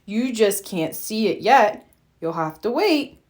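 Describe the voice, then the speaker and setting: A woman voicing a calm, wise male owl who teaches school in a storybook. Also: mid-range voice, slow pace. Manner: calm, instructive.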